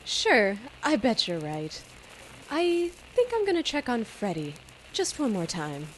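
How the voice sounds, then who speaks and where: A woman's voice: a little high, wildly swooping pitch, unhurried, a little hiss or hum, rough recording. A woman playing an anxious young mother in an old-time radio drama. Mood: worried, gentle.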